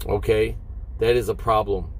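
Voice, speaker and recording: Man vlogging over clear vehicle noise. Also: fairly low pitch, fairly even intonation. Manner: halting, rhetorical questions and check-ins. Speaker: long-haul truck driver and vlogger. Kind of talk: casual explanatory vlog talk to viewers. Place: inside a parked truck cab.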